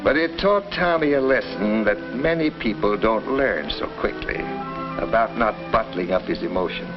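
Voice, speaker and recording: A man plays a kindly family doctor in a 1950s educational film, reassuring and folksy. His delivery rises and falls in a lively way as he explains things like a father. The old soundtrack is dull, with music clearly behind him. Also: on the low side, moderate pace, natural voice. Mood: explanatory, reassuring. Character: kindly small-town family doctor recounting cases, reassuring. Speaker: narrator and doctor.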